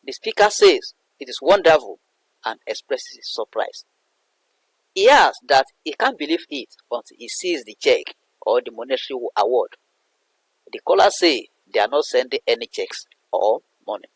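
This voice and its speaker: A man's voice: mid-range voice, very animated, moderate pace, husky, no echo, poor audio. A man explaining a poem as a literature teacher, in a didactic way that repeats and rephrases points. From Nigeria. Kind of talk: explanatory poetry analysis lesson.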